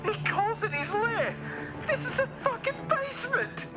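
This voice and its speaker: A man's voice: extremely high pitch, big pitch swings, moderate pace, poor audio. An actor playing a cocky, irreverent male spaceship captain with a mocking, amused manner in a comic game. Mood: incredulous, amused. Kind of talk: comic video game cutscene acting. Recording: loud music behind the voice. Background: music.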